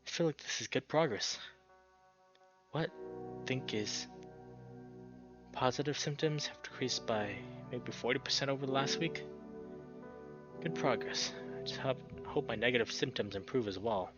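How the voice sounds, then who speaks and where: A man's voice: mid-range voice, moderate pace, pauses often, highs cut off, music in the background, lightly acted. A man voicing a troubled, resentful young male animal character in a visual novel, brooding and sardonic. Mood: tentative.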